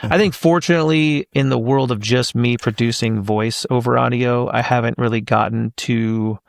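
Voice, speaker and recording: A man's voice: medium pitch, steady tone, normal speed, creaky, dry recording, studio quality. A man in his thirties, a voice actor guest on a podcast, talking casually and thinking aloud with plenty of fillers.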